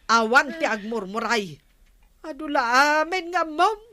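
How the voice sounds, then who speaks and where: An actress with an Ilocano accent playing a nagging, no-nonsense village wife in a radio comedy drama. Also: female voice, high-pitched, expressive, unhurried, bright, forceful tone, exaggerated voice. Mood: stern, nagging. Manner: nagging, emphatic.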